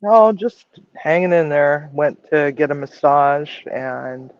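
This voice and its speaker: A woman with a low voice, chatting frankly and casually as a regular on a livestream. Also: expressive, takes it slowly, dry recording, muffled recording. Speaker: chef and regular co-host.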